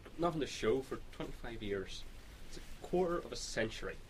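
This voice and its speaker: A man's voice: medium pitch, animated, fairly quick, slight background noise, close to an everyday voice, low-quality recording. A man in his twenties playing an insecure, self-doubting young apocalypse survivor, hesitant and stammering, in a radio drama. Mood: downcast. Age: 20s.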